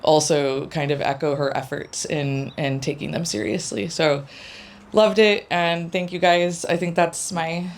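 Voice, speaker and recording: A woman giving a halting, self-conscious reflection about teaching to a small gathering in a home. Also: low voice, steady tone. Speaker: creative writing teaching fellow. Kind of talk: casual spoken reflection to a live gathering.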